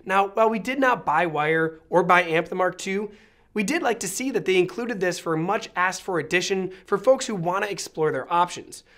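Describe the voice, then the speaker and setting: A man's voice: high voice, a little fast, a bit bright. An American man in his thirties giving a scripted review to camera with polished, articulate presenter delivery. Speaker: presenter of an audio product review. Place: small indoor studio set.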